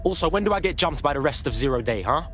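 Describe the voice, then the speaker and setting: An actor playing a defiant, sarcastic rebel in a game. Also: male voice, on the high side, expressive, fast talker, a bit bright.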